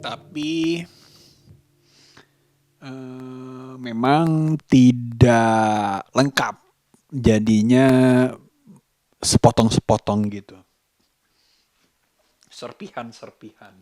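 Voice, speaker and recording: Man, medium pitch, unhurried pace, dry close sound, casual vlog monologue, reflective, pausing to find words. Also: fairly even intonation, darkish tone, no room sound. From Sukabumi, West Java, Indonesia. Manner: halting, reflective, searching for words with long pauses. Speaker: vlogger, host of his own daily vlog.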